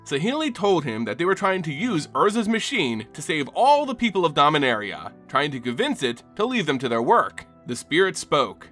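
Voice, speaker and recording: A man giving dramatic scripted narration and commentary as the host of a fantasy lore channel, with an expressive storyteller delivery. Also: fairly high pitch, normal speed, few pauses, faint music behind.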